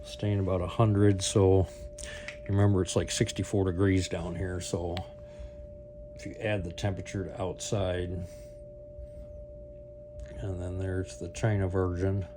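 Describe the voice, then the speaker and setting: Low-voiced man rambling over a noisy hum. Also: measured pace, long pauses. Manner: rambling, thinking aloud. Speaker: product review video host. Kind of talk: casual unscripted product demonstration. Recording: clear steady hum and background noise.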